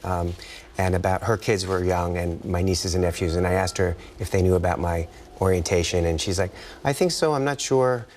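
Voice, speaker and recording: Male author guest, American, very low voice, rambling casual delivery, noticeable background noise. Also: normal speed, darkish tone. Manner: rambling, trails off mid-thought. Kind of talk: casual interview chat.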